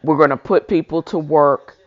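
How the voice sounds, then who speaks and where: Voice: medium pitch, steady tone, normal speed. A person reading a historical speech aloud with informal commentary, stumbling now and then and correcting themselves, in a dull, lo-fi recording. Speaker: host of a reading show. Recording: dull lo-fi sound.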